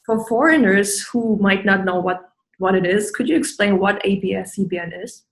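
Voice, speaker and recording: A woman's voice: mid-range voice, normal speed. Woman interviewer in an echoey room. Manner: brief, direct questions. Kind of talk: interview questions.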